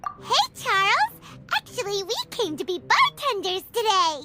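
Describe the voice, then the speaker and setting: An extremely high, squeaky little fairy companion from a video game. She is perky, feisty and childlike, swinging widely in pitch, with loud music behind her. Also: huge pitch swings, unhurried, full character voice. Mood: cheerful. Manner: perky, indignant, eager.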